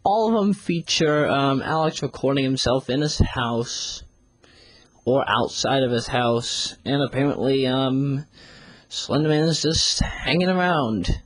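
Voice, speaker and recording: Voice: mid-range voice, measured pace, no room sound. A reviewer giving a casual spoken audio review, rambling and often repeating phrases to restart. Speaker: series reviewer.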